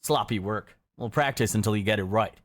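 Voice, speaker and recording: A man reading scripted second-person narration in a measured, matter-of-fact way. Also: on the low side.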